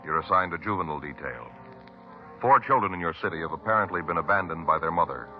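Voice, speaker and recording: A man announcing the opening of a radio crime show in a measured, grave way. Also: low voice, lively intonation, dull audio. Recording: old radio broadcast over music.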